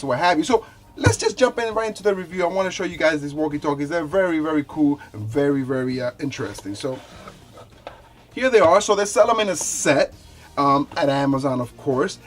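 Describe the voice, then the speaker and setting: A man casually presenting a gadget review in an easygoing, explanatory way. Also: a little high. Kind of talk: casual hands-on review chat.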